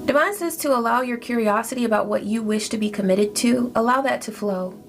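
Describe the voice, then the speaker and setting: A woman giving a spiritual tarot reading in a soft, reflective, gently encouraging way. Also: on the high side, fairly quick, gravelly. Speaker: tarot reader. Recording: faint music behind.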